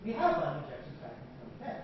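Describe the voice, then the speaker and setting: A high, lively male voice joking his way through a story, the pitch swinging up and down. He is heard from far off in a large echoey lecture hall, with a dull, noisy recording. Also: fast. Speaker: physicist giving a seminar. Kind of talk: lecture and question answers.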